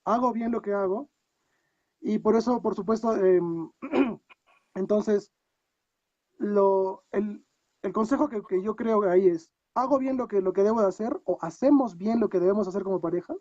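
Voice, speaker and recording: High-pitched Peruvian man, muffled call. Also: normal speed, dark tone, no echo. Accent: Peruvian Spanish. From Peru. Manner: reflective, many rhetorical questions and restarts. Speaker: guest coach. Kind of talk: casual interview talk. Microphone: video call.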